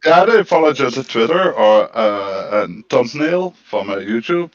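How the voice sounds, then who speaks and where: A man's voice: medium pitch, lively intonation, unhurried, muffled recording. A man hosting a crypto community chat on a voice call, easygoing and rambling, with many fillers. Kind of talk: casual chat.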